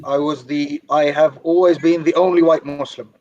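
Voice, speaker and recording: A man from Ireland and Birmingham telling his own story as an interview guest, measured and reflective, with some Arabic phrases. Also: a little high, fairly even intonation, darkish tone, a little hiss or hum. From Ireland and Birmingham, England. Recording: dry, slightly dull lo-fi audio.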